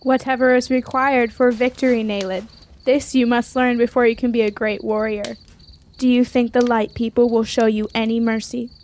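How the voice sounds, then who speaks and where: An actress playing a proud, sharp-tongued young warrior maiden in a fantasy radio drama, speaking in formal, defiant phrases. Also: female voice, on the high side, level tone, normal speed, slight background noise, close to an everyday voice. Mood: scornful. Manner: formal, archaic, declamatory phrasing.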